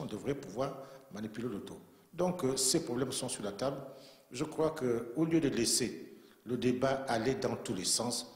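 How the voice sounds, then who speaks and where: A man giving formal, earnest remarks as a panelist at a conference, from Central Africa. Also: medium pitch. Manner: earnest, emphatic, repeats himself for weight. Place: conference room in a bank. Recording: echoey conference hall.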